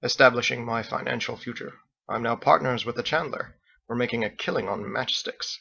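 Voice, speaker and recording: A man voicing a wry, smug and courtly male seafarer in an audiobook, with formal, unhurried phrasing. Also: medium pitch, gravelly, sharp tone, husky, muffled recording, exaggerated voice. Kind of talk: character voice in audiobook narration.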